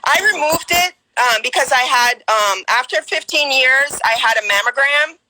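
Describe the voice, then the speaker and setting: Guyanese woman, forties, caller on a live stream; bright, slightly high voice, earnest and halting delivery. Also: a little hiss or hum, poor audio. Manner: earnest, hesitant, many ums and restarts. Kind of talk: casual personal testimony.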